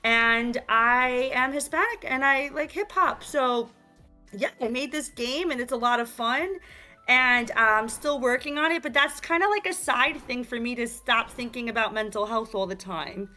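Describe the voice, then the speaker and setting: A young woman with a New York accent talks as a guest, chattering in a streetwise way and leaning on "like" and "you know". Her voice is bright and forceful, and it sits a little high for a woman. Faint music plays behind her. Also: moderate pace. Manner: energetic, streetwise, many fillers like "like" and "you know". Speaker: guest, game creator. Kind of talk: casual interview chat. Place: virtual talk show, remote.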